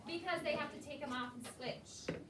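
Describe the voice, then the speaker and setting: Female primary teacher, high voice, questioning and echoing pupils' answers; distant mic in a noisy room. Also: normal speed. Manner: instructive, often repeating pupils' answers. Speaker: elementary school teacher. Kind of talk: classroom read-aloud discussion with questions to children. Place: indoor school classroom.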